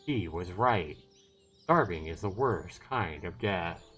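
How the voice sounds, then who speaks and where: Low-voiced man with lively, swooping delivery. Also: takes it slowly. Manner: casual, expressive reading with ad-libbed asides. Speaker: livestream host playing a visual novel. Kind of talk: reading game text aloud with casual commentary. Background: game background music.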